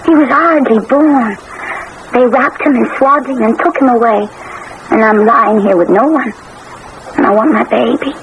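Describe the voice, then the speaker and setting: Actress as a plaintive young empress, high-voiced. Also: female voice, normal speed, natural voice. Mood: miserable pleading. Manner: plaintive, pleading. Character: young Catherine of Russia, grieving, lonely new mother. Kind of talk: radio drama acting. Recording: muffled, noisy old radio broadcast.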